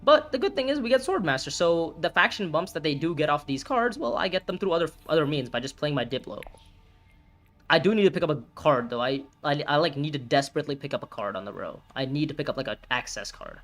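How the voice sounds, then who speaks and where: A young man in his twenties calls out his own game live, and his voice sits a little high and leaps around as he reacts. He reasons through each move aloud and blurts out curses when a turn goes wrong. Soft music plays faintly underneath. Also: fast. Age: 20s. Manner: excitable, talks through moves, reacts loudly, swears. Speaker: streamer playing a ranked board game. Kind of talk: live gameplay commentary, thinking aloud.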